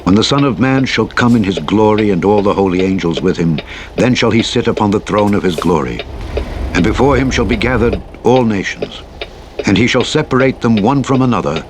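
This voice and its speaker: Male Bible narrator, lowish register, solemn and formal, measured dramatic phrasing, with noticeable vehicle noise behind the voice. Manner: measured, formal, dramatic delivery of archaic English. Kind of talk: solemn scripture reading. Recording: played over clearly audible vehicle engine noise. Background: truck engine and road noise from a driving game.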